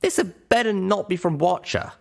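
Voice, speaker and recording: Man voicing a jittery, high-pitched young pony mare. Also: normal speed, vocal fry. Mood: nervous, annoyed. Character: young pony mare adventurer, anxious but wry.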